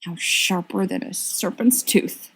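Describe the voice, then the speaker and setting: A woman's voice: medium pitch, unhurried, fairly bright tone, slightly rough. A woman reading a storybook part as an exasperated, defensive young man with an indignant, snappy manner.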